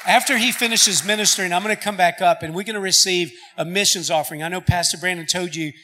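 A man's voice: high-pitched, fairly even intonation, fast talker, sharp tone, a little hiss or hum. A man, the church's pastor, earnestly introducing a guest speaker and reading scripture. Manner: earnest, with restarts. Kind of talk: sermon introduction.

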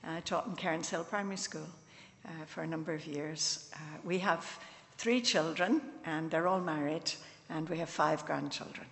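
A woman's voice: low voice, normal speed, creaky. A woman in her sixties with a Northern Irish accent, talking plainly about her life at a church service. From Belfast, Northern Ireland. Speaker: retired teacher, missionary. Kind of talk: casual talk at a church service. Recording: church hall reverb.

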